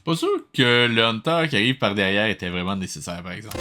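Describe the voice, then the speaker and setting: Un homme à l'accent québécois qui commente de vieux dessins animés de façon décontractée et sarcastique, un peu exaspéré. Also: male voice, lowish voice, expressive, fast talker, loud music behind the voice. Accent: Quebec French. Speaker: host of a web show reviewing old cartoons. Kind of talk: casual comedic commentary.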